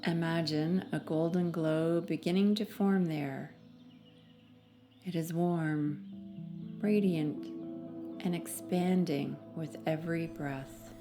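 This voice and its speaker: A woman narrating a guided meditation in a soothing, measured manner with long pauses between phrases. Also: deep voice, fairly even intonation, over music.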